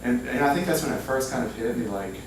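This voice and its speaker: A man talks informally about his writing life in an anecdotal way. His voice is on the low side. The recording is very echoey, distant and clearly noisy. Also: quick pace. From Louisiana, United States. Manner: self-deprecating, anecdotal. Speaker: author speaking at a book reading. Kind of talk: informal public talk with audience questions. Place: indoor humanities center event room. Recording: echoey, noisy hall, far from mic.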